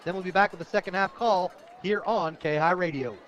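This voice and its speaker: A high-voiced American man works as a radio play-by-play announcer for a football game. He talks conversationally in short, punchy phrases. The broadcast sound is dull and lo-fi. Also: moderate pace, a bit bright, slight background noise.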